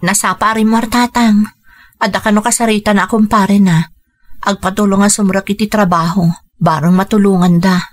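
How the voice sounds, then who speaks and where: A woman acting a gentle, caring family woman in an Ilocano radio drama, speaking in an earnest, measured way. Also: medium pitch, steady tone, natural voice. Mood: concerned.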